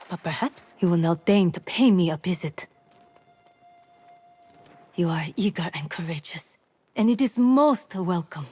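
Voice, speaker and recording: An actress playing a composed, dutiful noblewoman regent in a video game, speaking in a formal, measured, stately manner. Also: on the low side, a little mellow, breathy edge. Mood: encouraging, formal. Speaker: game character giving quests. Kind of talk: scripted video game dialogue.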